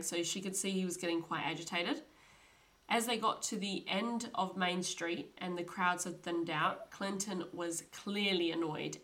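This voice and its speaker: A woman's voice: fairly low pitch, fairly bright tone. A young woman hosting a podcast, telling a true crime story in a chatty, casual way with frequent laughs. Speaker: podcast host.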